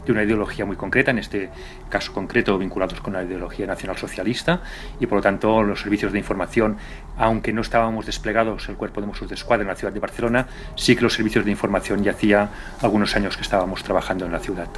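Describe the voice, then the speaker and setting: A man recalling police work in an interview, halting and circling back to rephrase. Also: lowish voice, steady tone, a little hiss or hum. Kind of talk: interview recollection.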